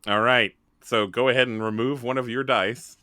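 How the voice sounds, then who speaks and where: A man's voice: medium pitch, fairly even intonation, normal speed, bright, forceful tone, no echo, studio quality. A man casually explaining rules and prompting players as he runs a tabletop game.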